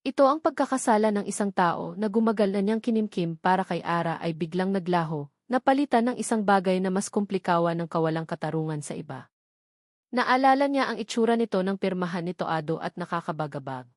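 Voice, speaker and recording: A woman's voice: fairly low pitch, expressive, fairly quick, no room sound, studio quality. A woman reading a scripted romantic drama audiobook as its narrator.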